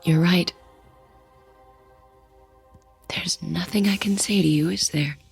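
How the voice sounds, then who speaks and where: Weary, low-voiced, gravelly wife. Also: female voice, normal speed, faint music behind, full character voice. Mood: tense pleading. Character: weary, resolute wife bargaining with a supernatural tormentor. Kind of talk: radio drama acting.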